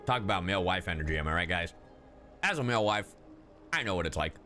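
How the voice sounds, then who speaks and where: A man's voice: sits low, expressive, fast, pushed and bright, soft background music. A man livestreaming a game, bantering casually in a playful, irreverent, sweary way. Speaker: game streamer.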